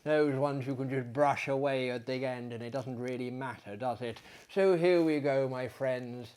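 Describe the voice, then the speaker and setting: A man in his thirties reading a literary tale aloud in a theatrical, gentlemanly manner, with dramatic pauses and chatty asides. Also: medium pitch, smooth. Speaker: storyteller, host. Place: small book-lined living room, indoors.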